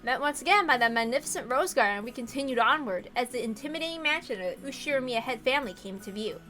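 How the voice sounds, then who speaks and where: Casting: female story reader, fairly high and bright, scripted narration with chatty asides, faint music behind. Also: lively intonation, fast talker. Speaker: narrator and reader of a visual novel.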